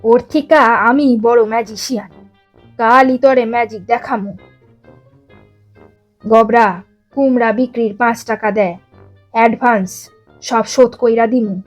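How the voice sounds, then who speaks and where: A woman's voice: a little high, steady tone, unhurried, long pauses, faint music behind. A woman voicing a brash, boastful teenage boy with an East Bengali accent and a blustering, emphatic delivery in an audio story. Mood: boastful, roaring.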